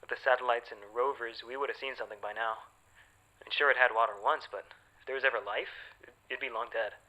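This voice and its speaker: A man playing an eager, stubborn astronomer in an audio drama, with an excitable, insistent manner. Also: medium pitch, brisk, natural voice, poor audio. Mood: wistful, doubtful. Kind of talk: modern audio drama acting.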